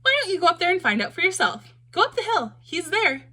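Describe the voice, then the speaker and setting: A woman's voice: very high voice, very animated, fairly quick, pushed and bright, voice pushed up, exaggerated voice. A woman voicing a carefree, cheerful young female wooden puppet with a breezy, upbeat manner.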